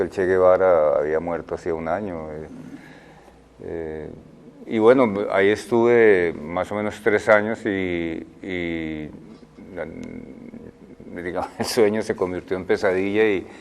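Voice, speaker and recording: An older man, a film director answering interview questions in a thoughtful, halting way. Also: low-pitched, slight background noise. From Colombia. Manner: thoughtful, halting, many fillers and restarts.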